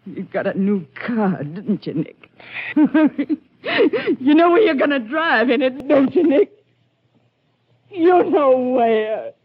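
An actress in a 1950s radio drama playing a distraught, guilt-ridden country wife, halting and rustic in speech. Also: female voice, high-pitched, sing-song, husky, exaggerated voice. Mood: bitter, breaking down. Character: distraught guilt-ridden country wife confessing against her murderous husband. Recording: dull, hissy, clicky old radio recording.

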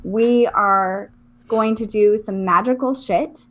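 A woman's voice: medium pitch, takes it slowly. A woman from southern Florida co-hosting a podcast, talking in an informal, chatty, self-deprecating way. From southern Florida, United States. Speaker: podcast co-host. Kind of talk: casual conversational podcast chat.

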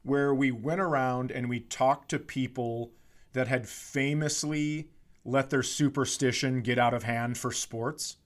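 A man's voice: mid-range voice, fairly even intonation, unhurried. A man co-hosting a podcast, telling stories in a casual, blunt and sardonic comic manner. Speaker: podcast co-host.

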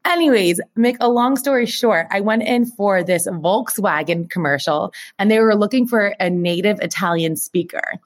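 A woman co-hosting a podcast, chatting casually and telling stories in an animated, informal way with plenty of filler "like" and some swearing. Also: mid-range voice, moderate pace, few pauses. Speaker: podcast co-host. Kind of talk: casual chat and storytelling.

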